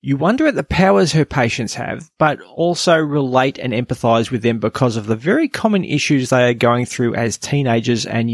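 A man's voice: medium pitch, gravelly, dry recording, studio quality. A man with an Australian accent hosting a podcast, reading a scripted review. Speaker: podcast host.

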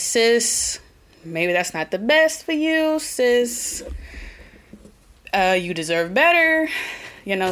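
A woman with an Oklahoma twang hosting her own solo podcast, talking earnestly and reflectively, pausing and restarting as she thinks aloud. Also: medium pitch, fairly bright tone. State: a little nervous. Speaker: podcast host.

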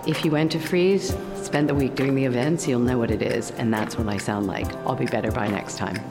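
A woman hosting an interview podcast, her voice croaky and hoarse, giving polished introductions and friendly questions. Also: extremely low pitch, fairly quick. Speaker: interviewer host. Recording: hall reverb with music behind.

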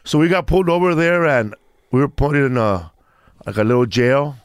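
A man's voice: medium pitch, normal speed, smooth, no room sound, clean studio sound. A man in his fifties from Mexico, a comedian chatting as a guest and telling a loose, rambling story with many restarts. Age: 50s. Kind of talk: casual chat.

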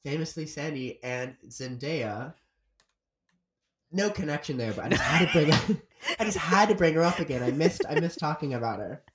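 A man's voice: on the high side, lively intonation, normal speed, crowd noise. A young man from Florida, a guest comedian in a casual chat, rambling and swearing freely with lots of fillers. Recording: roomy echo.

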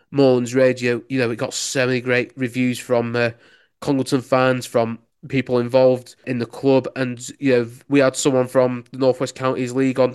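A man's voice: mid-range voice, clean tone. A young man with a Lancashire accent, a local radio sports reporter chatting enthusiastically in an interview, full of "you know"s. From Lancashire, England. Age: young adult. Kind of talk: casual interview answers.

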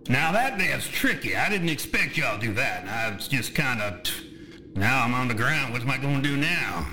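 A man's voice: medium pitch, sing-song, pushed and bright, slight background noise, low-quality recording. A man voicing a chatty, menacing undead rotting monster with a folksy Southern drawl, musing at length in a tabletop game. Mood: flustered. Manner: folksy, drawn-out musing monologue.

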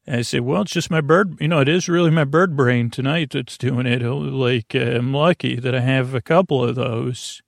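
Creaky-voiced man rambling soothingly. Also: medium pitch, fairly even intonation, moderate pace, hardly any pauses, no echo, clean studio sound. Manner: meandering, hesitant, stammering with tangents. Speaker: host of a bedtime sleep podcast. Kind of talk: rambling, soothing bedtime monologue.

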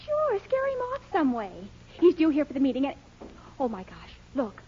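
A woman's voice: sits high, wildly swooping pitch, moderate pace, slight background noise. An actress playing an eager, scheming, peppy young woman in a 1940s radio comedy. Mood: excited, scheming. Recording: muffled 1940s radio recording.

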